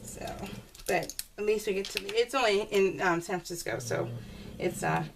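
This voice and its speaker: A woman talks casually to her viewers in a chatty, rambling, informal way, with a middle-of-the-road pitch. She sounds a little away from the microphone, and there is faint noise behind her. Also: normal speed, gravelly. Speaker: crafting video host. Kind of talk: casual chat to viewers while crafting. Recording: distant mic with faint background noise.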